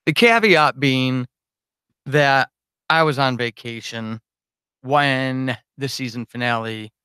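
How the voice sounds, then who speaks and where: A man's voice: mid-range voice, takes it slowly, bright, forceful tone, clear, resonant tone, no echo. A man chatting casually and unscripted to his supporters on a livestream, rambling, informal and self-deprecating as a podcast host.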